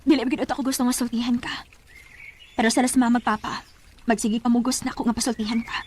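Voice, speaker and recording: A woman plays a young mother in a Bisaya radio drama, hurt yet forgiving. Her Cebuano-accented voice is high and she speaks with measured earnestness. The recording carries slight noise. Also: flat delivery, darkish tone, lightly acted. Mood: earnest. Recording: faint background noise.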